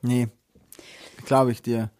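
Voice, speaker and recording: A man's voice: mid-range voice, level tone, slow pace, a little mellow, no echo. A man co-hosting a podcast who speaks with a regional dialect, chatty and joking, often laughing and using filler sounds. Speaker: podcast co-host, wedding speaker and teacher. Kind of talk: casual podcast chat.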